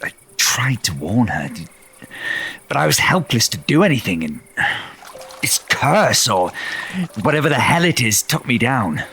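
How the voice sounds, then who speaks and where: A man's voice: medium pitch, big pitch swings, sharp tone, slight background noise, heavily acted. An actor playing a haunted, helpless cursed man in an audio drama, speaking hesitantly. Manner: hesitant, halting.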